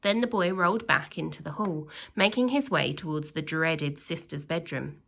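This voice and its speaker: A woman reading a children's story aloud expressively, with theatrical, drawn-out emphasis on key words. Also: deep voice, gravelly, fairly bright tone.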